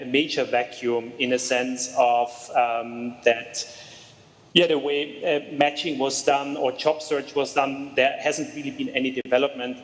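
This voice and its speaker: A man's voice: mid-range voice, long reverb tail, poor audio. A man from Austria, a startup co-founder, answering interview questions over a video call, with frequent fillers and restarts. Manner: hesitant, many fillers and restarts. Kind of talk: conversational remote interview.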